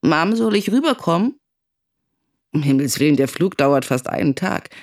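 An actress playing a worried, candid middle-aged mother telling her story in a dramatic first-person monologue, with a husky, creaky voice. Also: sits low, expressive, normal speed, a little mellow. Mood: troubled.

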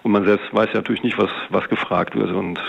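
Middle aged cop talking, really creaky flat voice, hesitant and wordy, muffled audio. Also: male voice, lowish voice, fast, slight background noise. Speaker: police detective interviewee. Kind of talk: spontaneous interview account.

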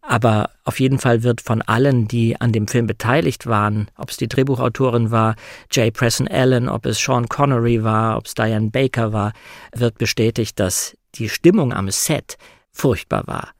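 A man with a mid-pitched voice and a gravelly creak, recorded dry and close. He talks conversationally as a guest, reflective and measured, sharing personal impressions. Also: steady tone, no room sound. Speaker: guest, audiobook narrator.

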